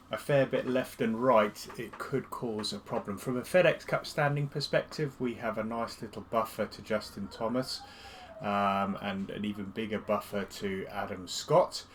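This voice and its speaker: A man's voice: on the low side, moderate pace, slight background noise. Congested, chatty male game streamer. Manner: chatty, relaxed. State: congested from a cold. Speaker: gaming streamer. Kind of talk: casual live-stream commentary.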